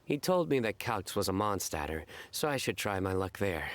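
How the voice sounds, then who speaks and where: Low-voiced, solemn stoic young man. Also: moderate pace, a little hiss or hum. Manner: deadpan, solemn, formal. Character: stoic, earnest, self-serious young man, card-game devotee. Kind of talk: anime game dub acting.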